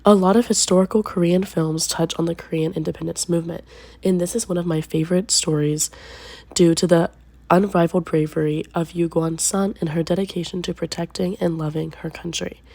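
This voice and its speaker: A young woman reading a prepared film review and historical summary, slowing down carefully on names. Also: lowish voice, steady tone, vocal fry, darkish tone. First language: English. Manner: careful, slowed delivery on names. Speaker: student presenter. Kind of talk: scripted film review narration.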